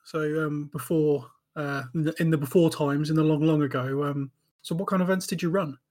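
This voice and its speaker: A man with a slightly higher voice hosts a podcast in a relaxed, chatty way. He laughs easily and keeps the talk moving along. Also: a little fast. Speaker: podcast host. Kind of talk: casual podcast chat.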